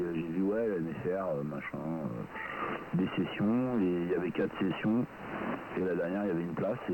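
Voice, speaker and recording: A man chatting casually over amateur radio with a hesitant, rambling delivery. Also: low-pitched. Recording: very noisy radio transmission.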